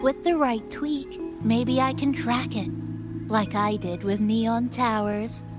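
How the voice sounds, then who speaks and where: An actress playing a clever, helpful, playful tinkerer in a video game. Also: female voice, a little high, fairly even intonation, takes it slowly, over loud music. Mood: confident, explaining.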